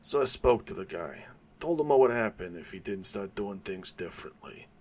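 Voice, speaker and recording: A man's voice: on the low side, animated, normal speed, a little hiss or hum, close to an everyday voice. A man voicing a dying old male mob boss who chats casually about his crimes. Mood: sly, reminiscing. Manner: chatty, boastful storytelling.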